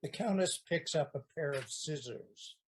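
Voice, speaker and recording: Slow, mid-pitched stage-direction narrator. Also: animated, slight background noise. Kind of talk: scripted narration.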